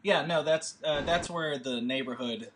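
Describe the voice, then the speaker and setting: A man's voice: fairly high pitch, measured pace, fairly bright tone, a little hiss or hum. A man leading a table read, chatting casually from home over a video call, rambling with lots of fillers and restarts. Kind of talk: casual chat.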